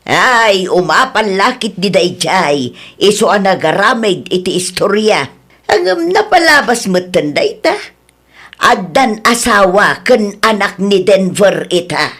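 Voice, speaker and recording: A woman's voice: medium pitch, sing-song, a little slow, a bit bright. A woman playing a matter-of-fact confidante in an Ilocano radio drama, with a Filipino accent. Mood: probing.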